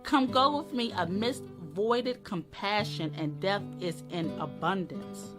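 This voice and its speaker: A woman giving a dramatic poetry reading in a deliberate, expressive manner. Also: mid-range voice. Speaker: poetry reader. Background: acoustic guitar music.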